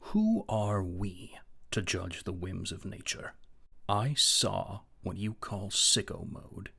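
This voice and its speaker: A man playing a grave, brooding philosopher in an audio drama, husky and gravelly, with a solemn, measured, theatrical delivery. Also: low-pitched, animated, pushed and bright, heavily acted. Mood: solemn, ominous. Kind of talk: dramatic audio play acting.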